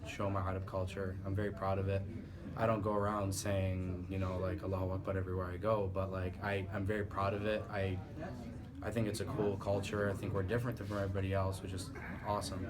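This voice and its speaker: A man's voice: low-pitched, fairly quick. Easygoing, level-voiced young man. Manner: relaxed, easygoing, "like" and "actually" often. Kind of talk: casual interview answers. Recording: crowd chatter behind.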